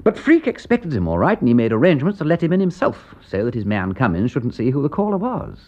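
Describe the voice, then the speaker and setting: An actor playing an aristocratic English gentleman detective in a radio drama, with an upper-class English accent and an urbane, emphatic way of talking. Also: medium pitch, huge pitch swings, fast. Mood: keen, pondering. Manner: urbane, emphatic, drawling question tags. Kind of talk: British radio drama acting. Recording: dull band-limited radio recording.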